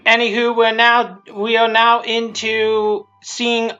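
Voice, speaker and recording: Rambling, bright-voiced woman. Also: fairly high pitch, monotone, slow pace, smooth, highs cut off, faint music behind. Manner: rambling, often restarts and repeats phrases. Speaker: creator of a fan abridged series. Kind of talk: casual, unscripted episode commentary.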